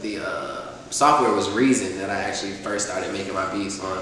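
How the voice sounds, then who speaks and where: A man in his twenties from Atlanta, talking in a relaxed, laid-back way as a rapper being interviewed, often laughing and filling with "you know". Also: low voice, fairly quick. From Atlanta, USA. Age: 20s. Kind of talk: casual interview chat. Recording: echoey distant room sound with faint noise.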